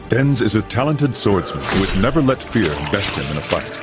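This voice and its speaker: Deep, solemn knight narrator. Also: male voice, big pitch swings, loud music behind the voice. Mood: grave storytelling. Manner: grave, measured storyteller. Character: solemn knight father narrating his family's saga. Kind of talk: dramatic scripted narration.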